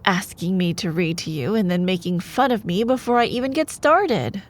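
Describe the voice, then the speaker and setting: A young woman playing a sweet, teasing girlfriend in an intimate ASMR roleplay, soft and playful, sometimes dropping toward a breathy whisper. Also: mid-range voice, normal speed, lightly acted. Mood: playful, affectionate. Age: young adult. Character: sweet, teasing young girlfriend, a sleepy-hours college student. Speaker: ASMR voice performer.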